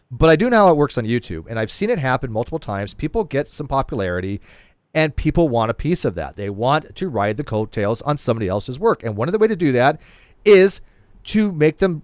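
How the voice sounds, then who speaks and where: A man's voice: medium pitch, dry recording. A man in his late forties hosts a loose livestream conversation. His voice rises and falls a lot, and he rambles and restarts his sentences. The sound is dry and close, with no room around it. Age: 40s. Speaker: host. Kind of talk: casual livestream chat.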